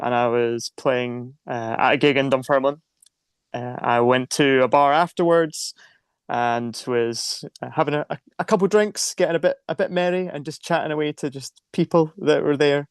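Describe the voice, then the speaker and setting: A man's voice: mid-range voice, clear, resonant tone, dry recording, clean studio sound. A man with a Scottish accent, a musician telling stories casually as an interview guest. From Scotland. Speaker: band singer being interviewed. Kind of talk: casual storytelling.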